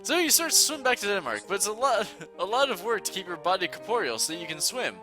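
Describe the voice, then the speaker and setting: A man's voice: high voice, sing-song, fast, over music. A man reading a text adventure aloud to a friend in casual playthrough narration, playful and often laughing as he reads.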